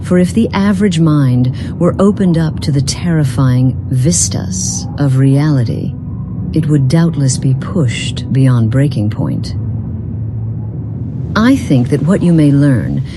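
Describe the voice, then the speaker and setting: A woman's voice: low voice, expressive, over music. A woman voicing a lonely, long-lived otherworldly being in a role-playing game, speaking in formal, philosophical phrases. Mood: grave, musing. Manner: formal, philosophical, measured wording. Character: weary, long-lived, lonely woman from beyond the mortal world.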